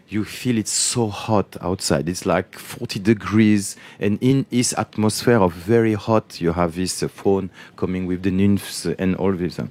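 A man from France with a French accent, a conductor answering interview questions and often searching for words. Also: low voice. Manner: searching for words, switches languages, warm enthusiasm.

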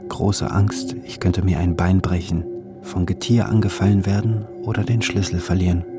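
A man's voice: low-pitched, level tone, moderate pace, loud music behind the voice. A man reading a literary travel diary as scripted narration, in clipped, terse phrases.

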